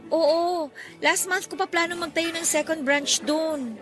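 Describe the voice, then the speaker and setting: A woman's voice: extremely high pitch, fairly even intonation, measured pace, fairly bright tone, soft background music. A woman playing a trusting, affectionate girlfriend in a radio drama, with a conversational, questioning way of talking. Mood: light, teasing. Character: trusting, affectionate young girlfriend, easily hurt. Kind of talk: Tagalog radio drama acting.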